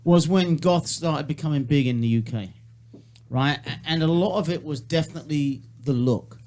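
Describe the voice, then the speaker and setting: A man's voice: fairly high pitch, moderate pace, a little mellow. Blunt, foul-mouthed male radio host. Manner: blunt, swears often, presses for answers. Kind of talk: casual studio chat. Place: internet radio studio.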